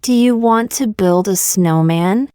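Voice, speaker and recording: A woman reading short practice sentences aloud for learners, saying each one twice, slowly and carefully. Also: mid-range voice, darkish tone, clear, resonant tone, no room sound, clean studio sound. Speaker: English teacher.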